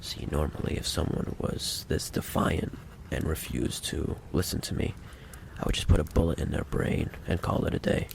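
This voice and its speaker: Male actor as mafia boss, extremely deep voice, heavy vocal fry, softly threatening, theatrical ASMR roleplay, noisy recording. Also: exaggerated voice. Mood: teasing menace. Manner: deliberate, theatrical, coolly condescending.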